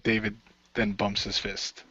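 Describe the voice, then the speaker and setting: A man's voice: fairly low pitch, flat delivery, a little slow, bright, forceful tone, slight background noise. A man taking part in a casual role-play radio show as a player, recorded with dull sound. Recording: dull, lo-fi audio.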